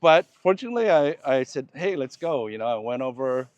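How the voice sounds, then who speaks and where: A man's voice: medium pitch, lively intonation, fairly quick, no room sound, muffled recording. Thoughtful man in his fifties. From United States. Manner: thoughtful, laughs easily, restarts phrases. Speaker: host. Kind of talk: casual conversation while walking. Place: outdoors on a walk.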